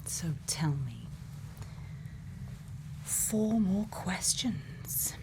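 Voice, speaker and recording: A woman doing an ASMR roleplay as a gentle, caring scientist, speaking softly and whispering in a hushed, deliberate manner close to the mic. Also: lowish voice, big pitch swings, creaky. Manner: slow, hushed, deliberate, with thoughtful pauses. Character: gentle, caring female scientist tending a robot. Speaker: ASMR creator.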